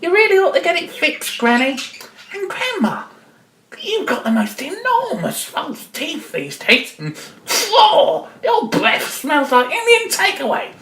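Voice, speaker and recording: A woman's voice: sits high, wildly swooping pitch, a little slow, fairly bright tone, echoey room, far from the mic, a little hiss or hum, pitched above the performer's own voice, full character voice. A woman voicing a cheeky young storybook girl, mock-innocent and needling.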